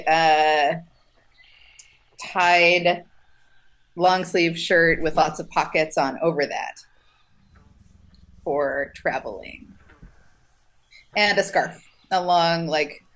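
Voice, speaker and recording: A woman talks casually as a player in a tabletop game. Her voice sits a little low and her delivery is slow, as she searches for words while making up details. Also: a bit bright, low-quality recording. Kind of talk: casual table talk, describing own character.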